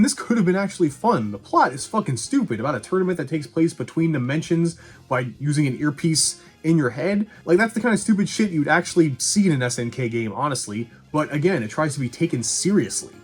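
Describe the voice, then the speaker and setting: A man giving a casual movie review as a video host, blunt, conversational and quick to swear. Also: on the high side, husky. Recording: music behind the voice.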